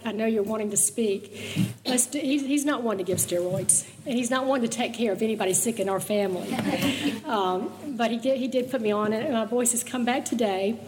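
Older woman, slightly raspy voice. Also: medium pitch, darkish tone, long reverb tail, a little hiss or hum. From Mississippi, US South. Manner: chatty, storytelling, laughs easily. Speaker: guest speaker sharing her testimony. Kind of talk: personal testimony talk.

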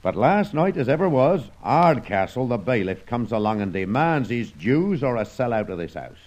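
An actor playing a proud, plain-spoken, worried old farmer with a rural English accent in an old radio serial. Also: male voice, medium pitch, very animated, moderate pace, darkish tone, dull audio. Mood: grieved.

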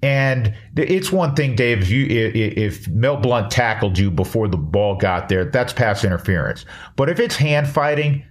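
A man's voice: mid-range voice, expressive, moderate pace. A man hosting a casual interview, blunt and opinionated, with some mild swearing. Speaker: podcast host.

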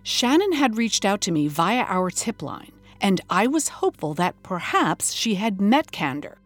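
A woman's voice: mid-range voice, big pitch swings, measured pace, faint music behind. An actress playing a wry, incredulous woman hosting a scripted investigative documentary podcast, with a dramatic storytelling manner. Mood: earnest narration. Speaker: host.

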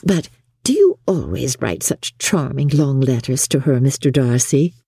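A woman's voice: rumbling low, lively intonation, unhurried, mellow, breathy edge, no room sound, clean studio sound, full character voice. A woman voicing a fawning, affectedly sweet young society lady in an audiobook, cooing and gushing. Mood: gushing flattery. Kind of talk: audiobook character acting.

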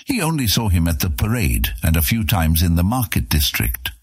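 A man with a deep, husky voice reading scripted fantasy audiobook narration. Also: huge pitch swings, normal speed, no echo, clean studio sound.

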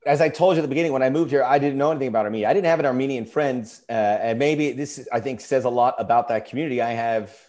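A man's voice: medium pitch, quick pace, few pauses, a little mellow. A man hosting an interview, asking long and rambling questions full of restarts. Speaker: interview host. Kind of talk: casual interview talk.

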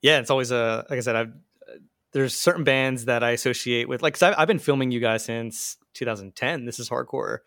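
Podcast guest, man from New Jersey, rapid, eager, dry close mic. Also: medium pitch, fairly bright tone, dry recording. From southern New Jersey. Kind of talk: casual podcast interview.

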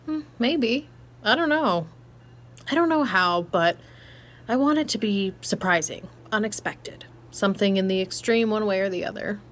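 A woman's voice: mid-range voice, normal speed, a bit bright, lightly acted. A woman playing a brash, cheeky app user in a naturalistic audio drama, talking in casual, flippant slang. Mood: casual, thoughtful. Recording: noticeable background noise and ambience.

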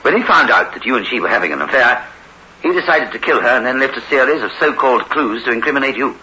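An actor playing a concerned, authoritative prison governor with clipped, courteous official speech in a 1960s radio drama. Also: male voice, medium pitch, lively intonation, fast talker, sharp tone. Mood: soothing, explanatory. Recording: dull, hissy old radio recording.

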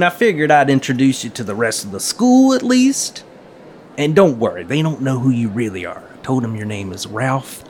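A man's voice: medium pitch, close to an everyday voice. A man acting in an audio drama as an eager, chummy metal detector club leader who talks in mock-official radio jargon. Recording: clear background noise.

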